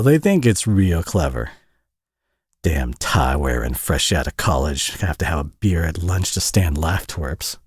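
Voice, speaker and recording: A man acts out a worn-out Southern US single dad in an intimate audio roleplay. His low voice swings in pitch as he stumbles and rambles, friendly, folksy and quick to put himself down. The sound is dry and close. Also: a little mellow, dry recording. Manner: folksy, rambling, stammering and self-deprecating.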